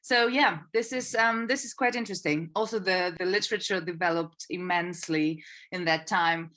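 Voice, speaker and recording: A woman from Poland, explaining things informally as an interview guest in hesitant, halting phrases. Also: lowish voice, pushed and bright. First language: Polish. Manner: hesitant, halting phrasing with frequent repeated words. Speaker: podcast guest, artist coordinator.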